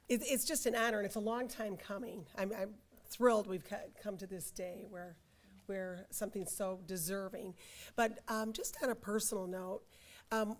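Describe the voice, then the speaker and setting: Hoarse older woman speaking at a podium. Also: mid-range voice. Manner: chatty, halting with fillers and restarts. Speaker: county commissioner. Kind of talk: ceremony speech. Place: library dedication ceremony.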